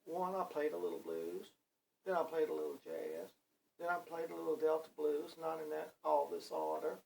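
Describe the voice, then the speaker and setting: Brief: older male guitar teacher, very gravelly, folksy and rambling, a little off-mic with faint noise. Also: on the high side, normal speed, a little mellow. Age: 60s. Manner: rambling, folksy, with many fillers. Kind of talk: casual spoken guitar lesson. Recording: voice a bit far from the mic, faint background noise.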